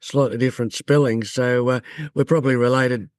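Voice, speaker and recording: A man hosting a podcast interview, friendly and halting, with many fillers. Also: medium pitch, steady tone, dry recording, clean studio sound. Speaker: podcast host. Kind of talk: casual interview chat.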